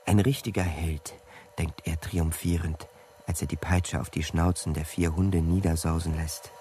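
A man's voice: low voice, steady tone, moderate pace, mellow. A man reading dramatic literary narration as the narrator of a radio play. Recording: clear ambient noise behind studio narration. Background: ambient noise.

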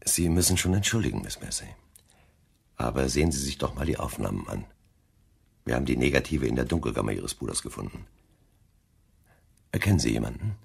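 A deliberate police detective in a German radio play. His voice sits extremely low, husky and gravelly, yet his intonation moves freely as he probes. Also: sing-song, exaggerated voice. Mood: probing. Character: deliberate, probing senior male police detective. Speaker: actor playing a senior police investigator.

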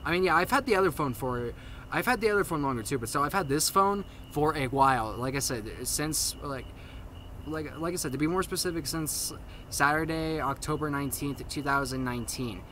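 Stuttering young man, bright forceful voice. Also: on the high side, animated, a little hiss or hum. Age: young adult. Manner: halting, repetitive, restarting phrases over and over. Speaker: video maker giving a channel update. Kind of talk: casual spoken vlog update to camera. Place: outdoors at night on a paved path.

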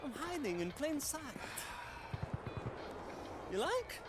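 An actor playing a goofy, eager male rebel sidekick in a game cutscene, jokey and excitable. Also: very high-pitched, slow pace, heavily acted. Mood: showing off. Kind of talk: video game cutscene acting.

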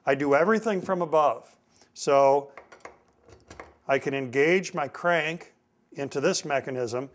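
A man's voice: on the high side, a little slow, pauses often. Middle-aged American man teaching woodworking. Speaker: woodworking instructor. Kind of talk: instructional demonstration to camera. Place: indoor woodworking shop. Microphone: lapel mic.

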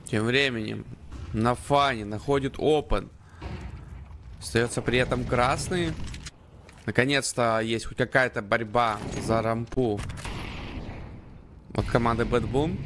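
A man with a medium-pitched voice commentates and analyses a live esports match. Game gunfire and clicking are clearly audible behind his voice. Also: noisy background.

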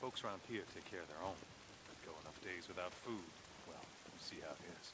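Man acting a low-voiced, domineering survivalist leader. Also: natural voice. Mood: grim, matter-of-fact. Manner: folksy, clipped, deliberate. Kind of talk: video game cutscene acting. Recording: rain and ambient noise behind.

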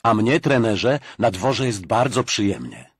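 A young man playing a lanky, well-spoken, smug teenager in a cartoon sketch, over loud music. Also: fairly low pitch, sing-song, a little slow, background noise.

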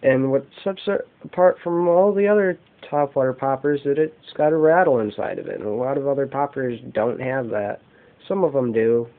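A man giving an unscripted show-and-tell as an amateur vlogger, talking in halting, short phrases on a camera microphone indoors. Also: medium pitch. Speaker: amateur fishing vlogger showing lures. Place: indoors, carpeted room at home. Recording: dull, muffled lo-fi home video.

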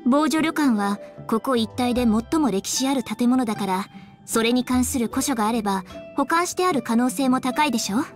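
A woman's voice: on the high side, expressive, normal speed, soft background music, lightly acted. A woman playing a composed, scholarly young noblewoman, polite and articulate, in an anime video game.

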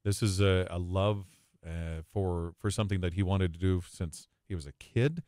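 A man with a very deep voice talks emphatically and with feeling. He is an arena announcer who is a guest on a podcast. The recording is dry and close. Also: moderate pace, darkish tone, dry recording. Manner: effusive, emphatic.

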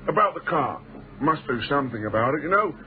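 An actor playing a chatty, overfamiliar British commercial traveller in a radio drama, glib and hearty with matey old-chap phrasing. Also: male voice, a little high, very animated, fairly quick, narrow, tinny audio. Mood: glib, persuasive. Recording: thin band-limited old radio recording, noisy.

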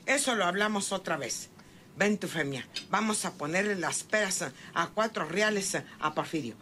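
A woman's voice: fairly low pitch, animated, normal speed, close to an everyday voice. A woman playing a jealous, bossy household matron, scolding bluntly in rustic style in a radio soap opera. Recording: clearly noisy old radio recording.

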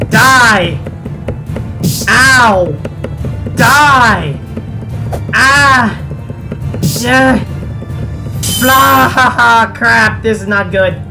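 Slow-paced young man commentating over game music. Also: very high voice. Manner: exasperated, sweary. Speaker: gaming video host. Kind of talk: casual let's play commentary.

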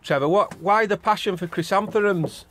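A man's voice: sits high, moderate pace, fairly bright tone. A man, casually interviewing someone from behind a handheld camera with curious, simple questions. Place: allotment greenhouse. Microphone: handheld camera, held by the speaker.

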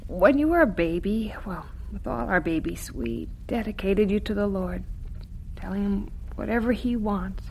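Gentle devout mother, played by a woman, noisy recording. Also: medium pitch, moderate pace, vocal fry, natural voice. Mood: moved, tender. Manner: soothing, earnest. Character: gentle, devout mother comforting her daughters.

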